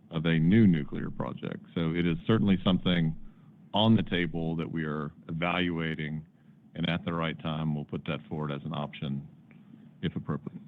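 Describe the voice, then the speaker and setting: A man speaking as a company executive on an earnings call, giving measured answers with emphatic repeated phrasing. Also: very deep voice. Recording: dull band-limited conference call audio.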